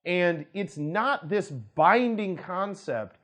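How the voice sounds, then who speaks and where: A man's voice: high-pitched, animated, slow pace, fairly bright tone. A man, a law firm director, explaining legal concepts to camera in a conversational way, with frequent restarts and asides. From United States.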